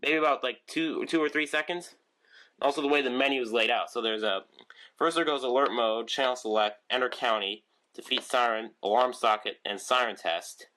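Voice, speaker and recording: A man's voice: mid-range voice, steady tone, normal speed, bright, forceful tone. A man casually showing his weather radio collection on video, rambling with lots of ums and restarts. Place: room at home with shelves of radios.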